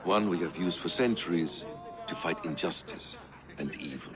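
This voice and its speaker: An actor playing a calm, wise mentor of a secret brotherhood, speaking in a measured, instructive way in a game cutscene. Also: male voice, low-pitched, lightly acted. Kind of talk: video game cutscene acting.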